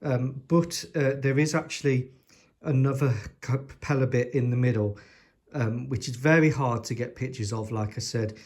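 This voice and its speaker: A man's voice: medium pitch, normal speed, a little mellow. A man with hay fever who sounds sniffly, casually showing off his model collection at home in a chatty, rambling way with lots of ums and dry jokes. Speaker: scale model hobbyist.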